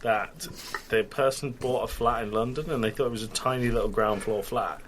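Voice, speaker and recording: A man's voice: medium pitch, fairly even intonation, moderate pace, fairly bright tone, a little hiss or hum. A man co-hosting a casual podcast, sweary and easily sidetracked into anecdotes, getting over a cold. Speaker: podcast co-host. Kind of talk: casual chat, telling anecdotes.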